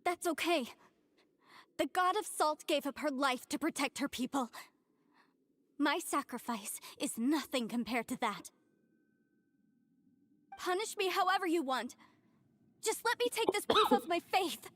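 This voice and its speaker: A woman's voice: high-pitched, animated, measured pace, long pauses, a bit bright, dry recording. An actress playing a fervent, devout young woman in a video game, earnest and dramatic in her delivery. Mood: resolute, devout.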